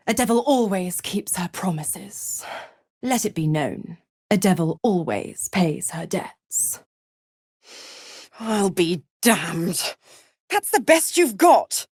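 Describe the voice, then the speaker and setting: A woman's voice: mid-range voice, darkish tone, dry recording, studio quality. An actress playing a seductive, scheming female devil in a video game, mocking and purring with condescending endearments. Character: seductive, scheming, gloating female devil who makes pacts. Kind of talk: theatrical game character dialogue.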